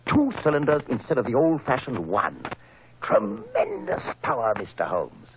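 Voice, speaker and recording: An actor playing a proud, wealthy motor car inventor in an old radio drama, emphatic and earnest, with a husky voice. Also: male voice, mid-range voice, huge pitch swings, normal speed, a little hiss or hum, low-quality recording. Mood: proud, enthusiastic.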